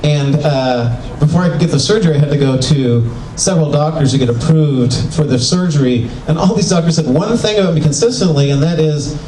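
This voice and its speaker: Animated older man doing stand-up. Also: fairly high pitch, a little fast, hardly any pauses, slight background noise. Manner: self-mocking, animated, exclaiming. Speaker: stand-up comedian. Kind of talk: stand-up comedy set. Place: small venue with live audience. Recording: echoey, distant club recording with crowd.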